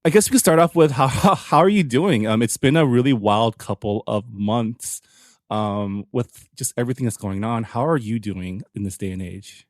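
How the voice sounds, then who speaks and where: A man's voice: medium pitch, normal speed, no echo. A man hosting a podcast in a casual, conversational way, introducing the show and interviewing. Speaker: podcast host.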